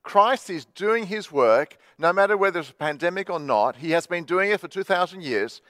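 A high-pitched man preaching as a Baptist pastor. He tells stories in a relaxed, chatty way with plenty of "uh"s, his voice very close and dry with no room sound. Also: normal speed, dry recording. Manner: chatty storytelling with many fillers. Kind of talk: sermon. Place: church livestream.

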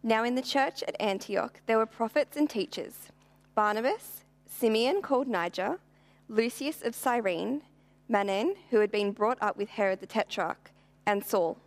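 A woman reading scripture aloud in a measured, formal and deliberate manner. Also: a little high. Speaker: Bible reader.

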